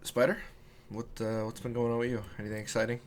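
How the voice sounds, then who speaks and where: A man's voice: mid-range voice, little pitch movement, fast talker. A man hosting a podcast in casual, conversational chat. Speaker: podcast host. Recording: clear background room noise.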